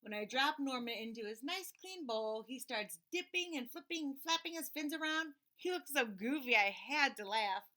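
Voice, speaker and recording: A woman narrating a children's story aloud in an expressive, emphatic way with dramatic pauses. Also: sits high.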